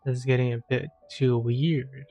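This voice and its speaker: A man's voice: medium pitch, level tone, normal speed, creaky, warm tone, dry recording, soft background music. Dull-sounding, dry male gamer commentary. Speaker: gamer reacting to story quests. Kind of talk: casual game commentary.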